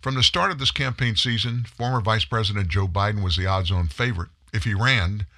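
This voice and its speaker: Forceful, bright-voiced commentary host. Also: male voice, lowish voice, brisk, dry recording, studio quality. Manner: storytelling, emphatic. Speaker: host of a commentary news show. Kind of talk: scripted political commentary monologue.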